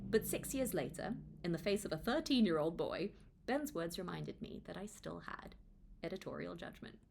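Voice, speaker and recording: A young woman reads a personal story aloud in a slightly low voice. Her pitch moves around a lot, giving the narration an expressive, conversational feel, like an informal audiobook. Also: normal speed, vocal fry, a little hiss or hum. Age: young adult. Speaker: author reading own book aloud. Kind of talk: audiobook-style read-along with casual asides.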